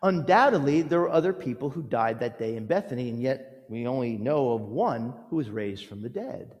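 A man preaching a storytelling sermon with a theatrical, emphatic delivery, using dramatic pauses and moving between loud proclamation and hushed lines. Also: mid-range voice, animated, dull audio. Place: large reverberant church or hall. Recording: echoey hall with long reverb.

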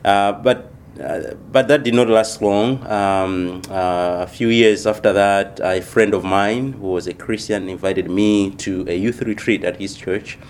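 A man from Malawi, a missionary church planter, answering interview questions in a thoughtful, unhurried way with many pauses. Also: fairly low pitch, fairly even intonation, darkish tone, a little hiss or hum.

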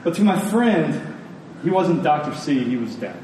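A man with a fairly high voice delivers an earnest sermon, leaning on key phrases and pausing deliberately. He is recorded at a distance in a very reverberant room, with faint noise underneath. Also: big pitch swings, mellow. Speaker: preacher. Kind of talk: sermon to a congregation.

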